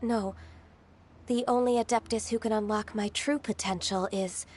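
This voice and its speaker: A woman voicing a gentle, earnest young half-divine woman in a video game, speaking calmly and politely. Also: mid-range voice, normal speed, music in the background, lightly acted. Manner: calm, polite, soft-spoken.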